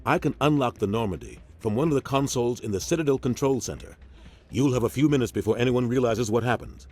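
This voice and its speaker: An actor playing a seasoned, resolute military captain in a video game, speaking firmly. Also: male voice, medium pitch, fast talker, darkish tone, faint music behind, natural voice. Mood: determined. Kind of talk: video game cutscene acting.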